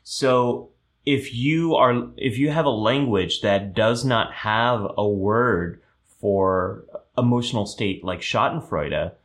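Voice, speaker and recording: Low-voiced man explaining on a live stream. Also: takes it slowly. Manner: explanatory, frequent ums and restarts. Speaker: host of a live show. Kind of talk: live-stream explainer talk, reading viewer comments.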